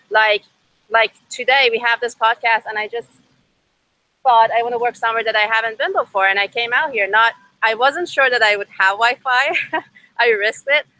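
A woman's voice: fairly high pitch, bright, forceful tone, low-quality recording. A young woman with an Iranian accent, a native Farsi speaker, telling her own story conversationally as a podcast guest. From Iran. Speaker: podcast guest, startup co-founder. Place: outdoors by a river. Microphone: video call.

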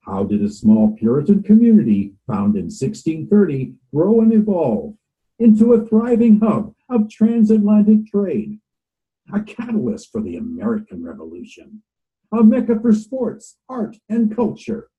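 A man's voice: sits high, huge pitch swings, unhurried, phone-quality sound, pitched above the performer's own voice, exaggerated voice. A man putting on a Boston-accented documentary narrator. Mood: grand. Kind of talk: scripted demo narration.

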